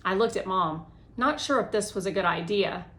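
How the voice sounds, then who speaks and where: Woman, average pitch, expressive children's read-aloud, animated storyteller, acted dialogue. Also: normal speed. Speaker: read-aloud host, teacher reading children's novel.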